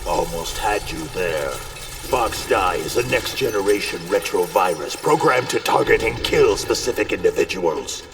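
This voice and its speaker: Slow, gravelly warrior villain. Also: male voice, sits low, animated, far from the mic, noisy background. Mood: mocking, menacing. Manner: grandiose, deliberate, mocking. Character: imposing male warrior villain, proud, taunting, menacing. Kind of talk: dramatic video game acting.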